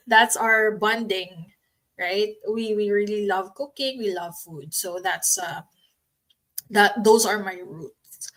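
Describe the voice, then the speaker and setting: Filipina woman, unhurried, chatty. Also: mid-range voice, a bit bright. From Philippines. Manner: unhurried, many ums and restarts, laughs now and then. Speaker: online business owner. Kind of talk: casual promotional talk to camera.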